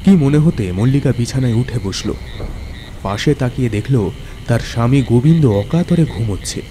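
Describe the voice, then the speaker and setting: A man's voice: mid-range voice, expressive, normal speed, dark tone, slight background noise. A man narrating a horror story in a dramatic, scripted storytelling style. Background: music.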